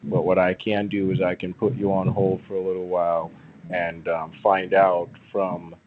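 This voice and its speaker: A man on a phone call giving a persuasive pitch as an agent, formally polite but halting and repeating himself. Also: completely flat delivery, slight background noise. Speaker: phone caller posing as a prize agent. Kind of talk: persuasive phone sales talk. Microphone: telephone. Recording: muffled, band-limited phone line.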